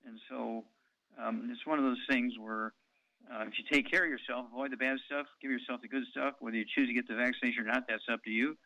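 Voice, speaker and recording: A man's voice: medium pitch, steady tone, fast, no echo, highs cut off. A man in his eighties hosting a call-in radio show, talking in a rambling way with many ums and uhs. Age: 80s.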